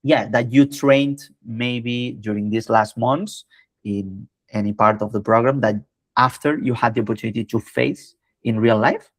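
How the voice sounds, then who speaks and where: A man's voice: medium pitch, steady tone, measured pace, no echo. A man, a language teacher, interviewing a student with slightly non-native phrasing and probing questions.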